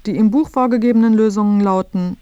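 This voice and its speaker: A woman plays a female radio presenter narrating a satirical report. Her voice sits at a middle pitch. The delivery is scripted, dry and matter-of-fact, in a very dry studio sound. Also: quick pace, mellow, clear, resonant tone, no echo, dull audio, close to an everyday voice.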